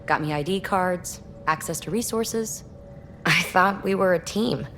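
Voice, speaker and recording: A woman acting a brash, candid young rogue explorer in a video game, talking in a snarky, animated and colloquial way. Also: lowish voice, a little slow, a little hiss or hum. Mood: wistful.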